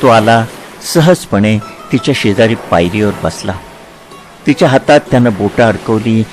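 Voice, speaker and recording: A man narrating a literary story in a dramatic, expressive way with measured phrasing. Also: fairly low pitch, soft background music, poor audio.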